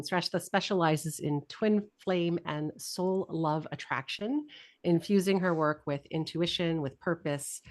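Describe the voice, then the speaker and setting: A woman with a lowish voice hosts an online series and brightly introduces her guest. She sounds bubbly and upbeat on a video call. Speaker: host of an academy webinar series.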